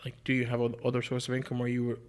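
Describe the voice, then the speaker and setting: A man's voice: mid-range voice, monotone, rapid-fire, clear, resonant tone. A man hosting a conversational interview, putting informal questions to his guest. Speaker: interviewer, podcast host.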